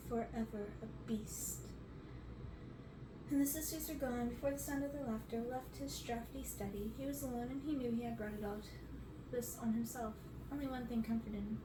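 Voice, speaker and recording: A young woman narrates a storybook in an ASMR reading, with a fairly high voice. She is some way from the microphone in a roomy bedroom, with clear background noise. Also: steady tone, brisk. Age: young adult. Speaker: reader on an ASMR channel. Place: bedroom, indoors. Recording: roomy, noisy, distant microphone.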